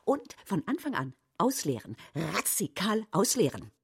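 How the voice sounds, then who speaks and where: An actress playing an opinionated woman in an absurdist radio play, trading nonsense words emphatically in a husky voice. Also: medium pitch, sing-song, unhurried, heavily acted. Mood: insistent.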